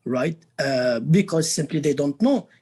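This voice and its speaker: Animated husky man with a Beirut accent. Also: fairly high pitch, measured pace. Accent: Lebanese, Beirut. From Lebanon. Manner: animated, repeats words, frequent tag "right?". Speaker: professor of Arabic, panel guest. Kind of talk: conference panel discussion. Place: conference stage.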